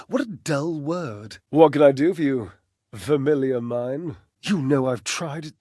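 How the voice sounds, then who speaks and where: Haughty flirtatious game character, male living dryer, high-pitched, wildly varied intonation, pompous, catty, dry close sound. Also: no room sound. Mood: haughty, dramatic, then wounded, sorrowful. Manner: theatrical, pompous, arch, with mocking chuckles. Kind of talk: dating-game character voice acting.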